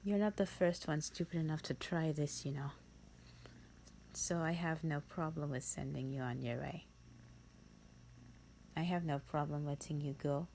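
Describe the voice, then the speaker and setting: A haughty vampire thousands of years old, played by a woman, speaks in a low, breathy half-whisper. She drawls very slowly, bored and condescending, as in a theatrical roleplay audio. There is faint noise in the recording. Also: a little mellow, poor audio.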